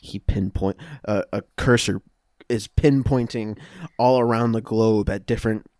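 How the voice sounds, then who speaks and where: A man's voice: fairly low pitch, steady tone, unhurried, a little mellow, no room sound, clean studio sound. A man improvising scene narration for a comedy podcast, casual and feeling his way through the story.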